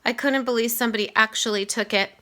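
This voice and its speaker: A woman's voice: fairly high pitch, steady tone, fast, bright, forceful tone. A woman voicing an eager, bragging schoolboy in a storybook. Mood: aggrieved.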